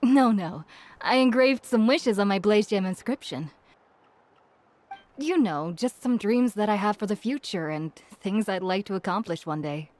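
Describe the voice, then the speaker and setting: A woman voicing a chatty, warm-hearted village woman in a fantasy video game, explaining things in a slightly flustered way. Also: medium pitch, lightly acted.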